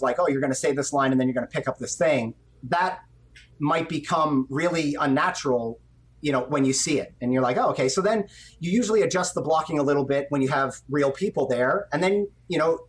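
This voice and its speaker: A middle-aged man, an animation director, explaining his work conversationally in an interview and acting out little quotes as he goes. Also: on the high side. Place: indoor room on a video call.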